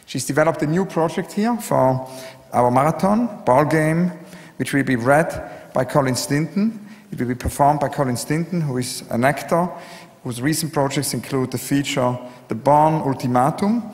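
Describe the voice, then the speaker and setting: A man's voice: fairly high pitch, normal speed. A man hosting an event, giving a polite, formal introduction that is partly read out. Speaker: event host introducing speakers. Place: live on stage in a large hall. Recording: echoey hall with long reverb.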